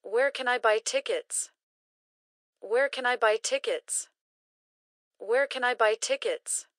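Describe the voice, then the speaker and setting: A woman reading short English phrases slowly and carefully, each one repeated for learners to practice. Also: fairly high pitch, fairly bright tone, no echo, studio quality. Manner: careful, clearly enunciated. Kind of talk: slow repeated phrase drills.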